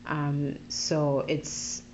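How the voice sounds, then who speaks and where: A woman from Zambia, a podcast guest who works with youth, talking in a thoughtful, searching way with many pauses and restarts. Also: deep voice, completely flat delivery, a little mellow, muffled recording, a little hiss or hum. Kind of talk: casual interview talk.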